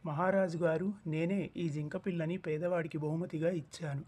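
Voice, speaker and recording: A man's voice: fairly high pitch, steady tone, moderate pace, darkish tone, husky. A man playing a benevolent, knowing holy man in a cartoon story, explaining with assurance. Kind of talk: acted cartoon story dialogue.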